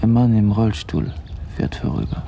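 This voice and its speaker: A man in a literary radio play, playing a brooding observer who lists what is around him in halting fragments. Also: on the low side, lively intonation, warm tone. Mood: observing. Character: male observer beside a lake, slow, brooding, dwelling on details. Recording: clear outdoor ambient noise behind voice.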